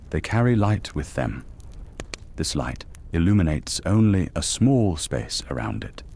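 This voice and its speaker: A man with an extremely deep voice tells a bedtime story at an unhurried, lingering pace. His delivery is calm and hushed, sometimes dropping to a whisper, over a faint hiss. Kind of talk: slow soothing sleep-story narration.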